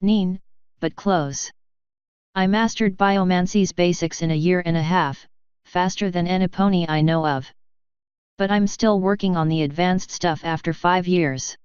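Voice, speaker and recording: A woman's voice: fairly low pitch, steady tone, a little slow, a little mellow, smooth, no echo, highs cut off, close to an everyday voice. A woman voicing a knowledgeable female unicorn mage who teaches patiently in an audiobook story. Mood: explanatory.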